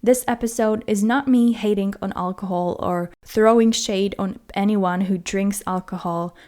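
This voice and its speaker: A woman's voice: medium pitch, fairly even intonation, a little slow, darkish tone. Earnest woman podcaster. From Czech Republic. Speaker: podcast host. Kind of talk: scripted solo podcast monologue.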